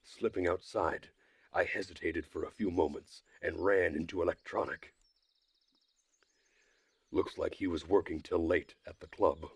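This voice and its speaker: A man with a slightly rough voice narrating a first-person visual novel story in a measured, dry manner. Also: deep voice, steady tone, dry recording. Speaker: streamer reading a visual novel aloud.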